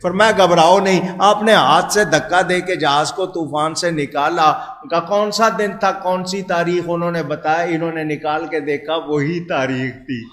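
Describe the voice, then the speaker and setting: A man's voice: fairly high pitch, moderate pace, hardly any pauses, a bit bright. A man telling a religious story to listeners, repeating phrases and adding explanatory asides. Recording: roomy echoing sound.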